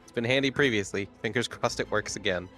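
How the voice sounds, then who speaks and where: A man with a slightly low voice talks casually as a player at a tabletop game. Faint music sits behind him. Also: a little fast, a bit bright.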